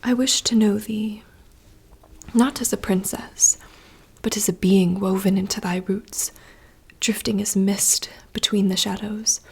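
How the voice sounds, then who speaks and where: A woman voicing a wistful young princess in a soft, slow, poetic whisper. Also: mid-range voice, steady tone, vocal fry, husky, heavily acted. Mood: yearning. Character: wistful young princess longing for wild woods. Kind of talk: whispered monologue reading.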